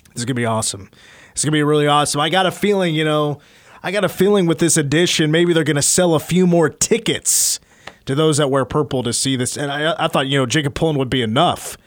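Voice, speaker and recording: A man hosting a sports talk radio show, chatty and rambling, his voice getting a little hoarse. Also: mid-range voice, dry recording. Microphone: studio microphone.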